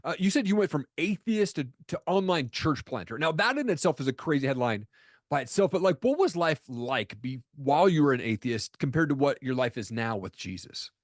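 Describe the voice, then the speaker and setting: A man's voice: on the high side, expressive, a little fast, no echo, clean studio sound. A man hosting a podcast interview, casual and upbeat, calling his guest "man". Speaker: podcast host.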